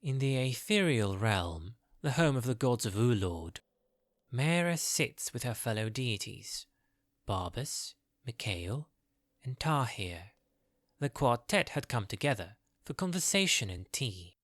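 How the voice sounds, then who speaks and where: A man's voice: medium pitch, very animated, a little slow, fairly bright tone, dry recording. A man narrating a fantasy audio drama from a script, recorded on a close studio mic. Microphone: close, dry studio mic.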